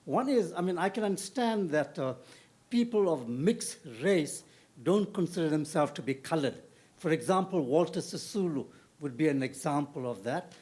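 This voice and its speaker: An older man from South Africa asking the panel a long question from the audience, halting and full of fillers. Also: on the high side, a little mellow. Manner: halting, with many fillers and restarts. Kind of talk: question from the floor at a panel discussion.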